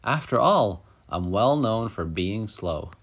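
A man reading a children's picture book aloud as an expressive, dramatic storyteller. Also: on the low side, slow pace.

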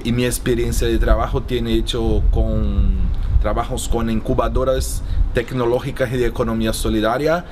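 A man's voice: mid-range voice, background noise. A man in his thirties from Brazil, a PhD student, speaking steadily to camera outdoors with a few fillers. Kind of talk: interview to camera. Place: outdoor courtyard.

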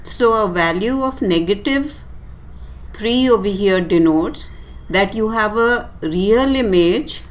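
A woman teacher giving a step-by-step tutorial explanation in a deliberate, instructive way with short, pausing phrases. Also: medium pitch. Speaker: physics teacher. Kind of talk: step-by-step tutorial explanation over handwritten working.

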